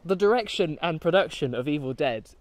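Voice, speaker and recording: A man's voice: a little high, lively intonation, a little fast, dry recording. A young British man chatting casually and jokily outdoors as he co-hosts a film vlog on a walk. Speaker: co-host of a film discussion vlog. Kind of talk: casual chat while walking. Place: outdoors, walking in woods and a golf course.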